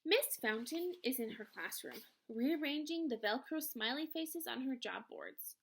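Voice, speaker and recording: A woman narrating a children's novel aloud in an expressive storytelling style. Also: high voice, measured pace.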